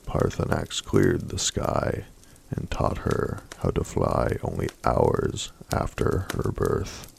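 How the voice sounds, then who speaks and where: A man reading a fantasy story aloud in a slow, soft-spoken ASMR style, much of it half-whispered. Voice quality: very deep gravelly voice.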